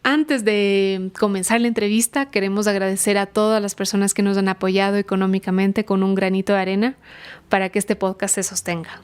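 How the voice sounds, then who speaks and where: A woman's voice: mid-range voice, little pitch movement, fairly quick, clean tone. A woman hosting a podcast, reading the intro and then interviewing a guest in a friendly way, laughing often.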